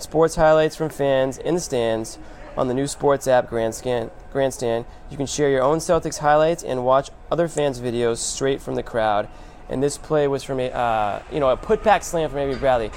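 A male sports show host with a medium-pitched voice talks through a game in an emphatic, conversational way. He repeats phrases for punch, and there is faint noise behind him. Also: moderate pace, a little mellow. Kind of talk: conversational basketball game analysis.

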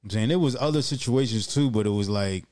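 A man, a writer and actor, talking in a relaxed, slangy, plainspoken way. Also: fairly low pitch, fast talker. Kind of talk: casual chat.

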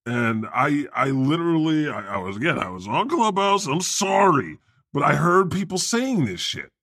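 A man's voice: on the high side, sing-song, normal speed, fairly bright tone, dry recording, clean studio sound. A man co-hosting a casual comedy podcast, talking in a sarcastic, profane, opinionated way. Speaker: podcast co-host. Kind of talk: casual comedic panel talk.

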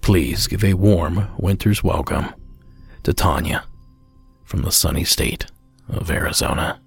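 Gravelly low-voiced male podcast host. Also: measured pace, no echo, faint music behind. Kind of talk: scripted podcast narration.

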